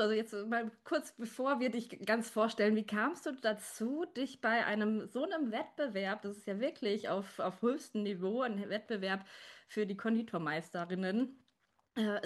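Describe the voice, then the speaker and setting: Chatty woman hosting a podcast. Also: medium pitch. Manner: chatty, many fillers and restarts. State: slight cold. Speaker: podcast host. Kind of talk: casual interview chat.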